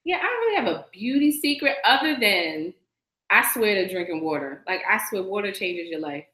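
Woman, enthusiastic podcast co-host, bright voice, roomy echo. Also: medium pitch, normal speed. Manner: lively and enthusiastic, hesitant fillers. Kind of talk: casual live-stream chat.

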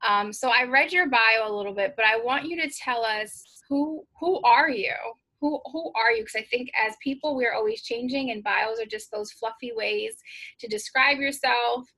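A woman's voice: on the high side, normal speed, bright, forceful tone, low-quality recording. A woman hosting a podcast in a casual, conversational interview style. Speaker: podcast host.

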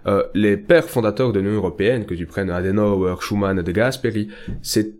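A man's voice: normal speed, a little mellow. A young Belgian man hosting a political podcast, speaking earnestly and stressing his key points. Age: young adult. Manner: earnest, emphatic, rises in pitch on key points. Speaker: podcast host.

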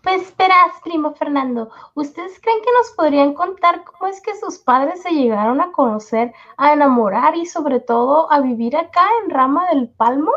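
A woman playing a polite little schoolgirl in an amateur radio drama, speaking in a deliberate sing-song over an online call. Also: sits high, a bit bright, dull audio. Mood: friendly, curious.

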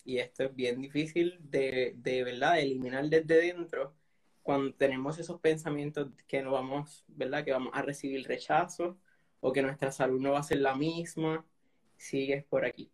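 A man's voice: mid-range voice, fairly even intonation, moderate pace. A man talking earnestly about supporting people living with HIV, reflective, often adding "¿verdad?" as he talks. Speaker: HIV peer support advocate. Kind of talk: casual panel conversation.

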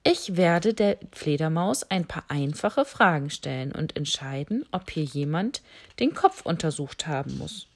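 A woman voicing a calm, wise owl in a children's story, speaking slowly and deliberately. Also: sits low, gravelly, slightly rough, exaggerated voice. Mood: thoughtful.

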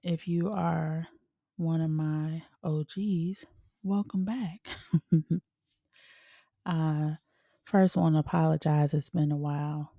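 Lady hosting a podcast, slow and gravelly, a lil congested, dry close sound. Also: deep voice, steady tone, dark tone, no echo, muffled recording. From Chicago, USA. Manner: chatty, laughs often, calls listeners honey and y'all. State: congested from a sinus infection. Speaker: podcast host. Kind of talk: casual chat.

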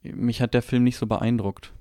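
A man's voice: medium pitch, level tone, normal speed, dark tone, clean tone, no echo, studio quality. A man co-hosting a film podcast, discussing movies in a casual way.

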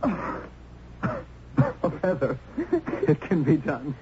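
A large, pious monk in a muffled, noisy old radio drama. The voice is rather high for a man and swings widely in pitch. He speaks earnestly and urgently, in halting phrases. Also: wildly swooping pitch, darkish tone, exaggerated voice. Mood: urgent, tender. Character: large, strong, devout monk smuggling out a condemned girl.

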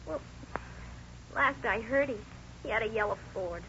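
A woman's voice: on the high side, expressive, a little slow. An actress playing an anxious, reluctant woman informant in a 1930s radio crime drama, pleading and agitated. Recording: dull, hissy old radio recording.

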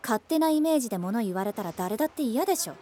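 A woman playing a blunt, sharp-tongued schoolgirl. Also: fairly high pitch, animated, quick pace, slight background noise, lightly acted. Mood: irritated. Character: blunt, irritable teenage schoolgirl. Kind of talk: amateur voice drama.

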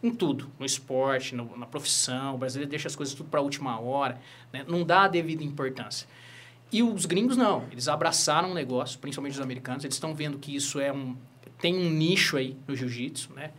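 Fast-talking Brazilian man, lawyer and fighter. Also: medium pitch. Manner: animated storyteller, frequent "né?" tags. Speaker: lawyer and jiu-jitsu teacher, guest. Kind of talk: casual interview chat.

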